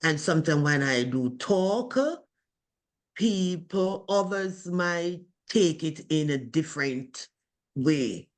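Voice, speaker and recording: Slow, deliberate woman with a strong voice. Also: sits low. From from abroad. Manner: slow, deliberate, with many pauses and fillers. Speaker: webinar participant. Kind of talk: reflective group discussion. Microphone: video call.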